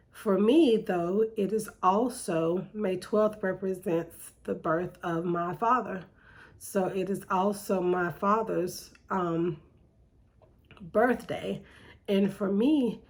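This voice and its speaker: A middle-aged woman and poet, speaking reflectively and reading her poems in a slow, deliberate way with pauses, a little nasal from allergies. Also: on the low side. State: slightly nasal from seasonal allergies. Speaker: poet hosting her own segment. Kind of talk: reflective spoken monologue with poetry reading.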